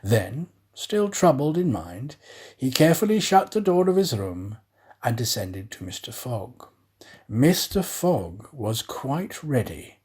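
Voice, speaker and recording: A man reading a story aloud as a scripted narrator, with deliberate, theatrical phrasing. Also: mid-range voice, sing-song, a little mellow, breathy edge. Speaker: storyteller, professional actor.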